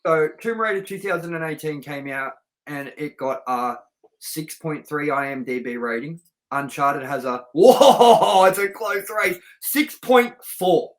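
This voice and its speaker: A middle-aged man with a voice pitched a little high talks as co-host of a review show. He is casual and sweary, and he states his opinions with firm emphasis. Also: a little slow.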